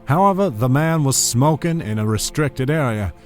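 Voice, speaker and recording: A man plays a ruthless, wealthy boss in an audio drama, with an animated mid-pitched delivery over prominent music. He is unruffled and condescending, always scheming. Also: normal speed, natural voice. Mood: cold, dismissive. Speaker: drama actor.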